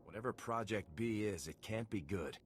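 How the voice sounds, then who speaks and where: An actor playing a resolute army lieutenant in a video game, terse and matter-of-fact. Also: male voice, on the low side, normal speed, a little hiss or hum, lightly acted. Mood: firm. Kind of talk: video game cutscene acting. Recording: game soundtrack music behind.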